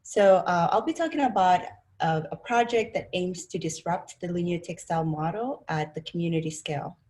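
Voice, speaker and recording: A woman from Rwanda presents academic research over a video call. Her voice sits on the low side. She speaks in a measured way and pauses often on fillers. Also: a little mellow. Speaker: presenter, design instructor.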